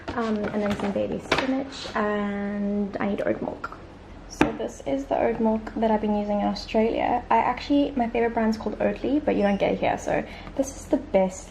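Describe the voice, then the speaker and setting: Young woman, casual vlog chat, filler-heavy, gravelly fry, echoey room. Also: medium pitch. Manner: chatty, filler-heavy, upbeat. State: croaky from just waking up. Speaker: vlogger. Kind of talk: casual day-in-the-life vlog. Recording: echoey room with clear background noise.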